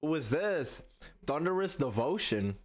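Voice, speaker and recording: A man's voice: a little high, very animated, unhurried. A young man giving casual, slangy reaction commentary as a video host, often repeating his phrases. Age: young adult. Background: game trailer music.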